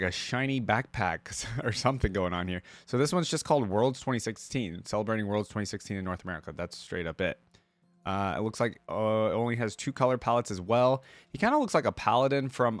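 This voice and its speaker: Male reaction host, lowish pitch, informal thinking-aloud commentary with slangy phrasing, dry close sound. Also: no room sound.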